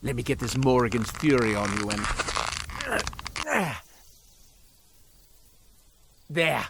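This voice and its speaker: A man's voice: mid-range voice, brisk, creaky, noisy background, lightly acted. A man playing a flamboyant devilish roadside merchant in a video game, with florid, theatrical, ingratiating sales patter. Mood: wry, confiding. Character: flamboyant devilish roadside curio merchant, male, wheedling and grandiose.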